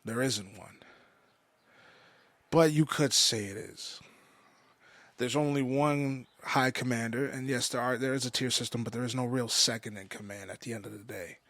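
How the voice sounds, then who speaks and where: A man in his mid twenties giving a personal podcast monologue, halting and often restating himself for emphasis. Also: medium pitch, fairly even intonation, fairly bright tone, no room sound. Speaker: podcast host. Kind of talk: personal monologue, confessional storytelling.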